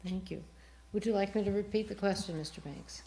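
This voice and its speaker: A woman's voice: mid-range voice, brisk, warm tone, a little hiss or hum. A woman moderating a candidate forum, formally reading out questions in a roomy studio with some echo. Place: public access TV studio.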